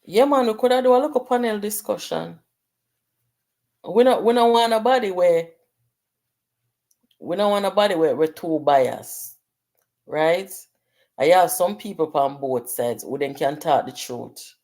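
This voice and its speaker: Low-voiced Jamaican woman chatting on a livestream. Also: lively intonation, measured pace, long pauses, mellow. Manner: chatty, mixes patois and English, reads comments aloud. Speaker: livestream host. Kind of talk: casual livestream chat with viewers.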